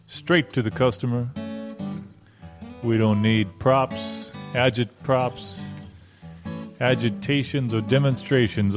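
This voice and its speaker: A man playing an earnest, no-frills small-town car dealer giving a deliberate, wordy pitch in an improvised radio ad parody. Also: lightly acted. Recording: guitar music behind the voice.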